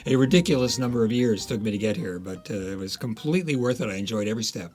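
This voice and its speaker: A man's voice: on the low side, quick pace, darkish tone, soft background music. An American man in his seventies from Los Angeles, an author reminiscing casually in an interview. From Los Angeles, United States. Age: 70s.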